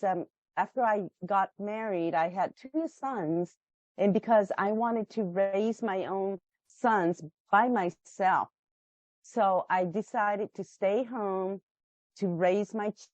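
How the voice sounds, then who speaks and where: A woman's voice: mid-range voice, a little mellow, dry recording, lo-fi sound. A woman in her forties from Japan with a Japanese accent, a guest on a call who talks thoughtfully and often restarts her sentences. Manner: thoughtful, restarts phrases while recalling. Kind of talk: interview over a video call.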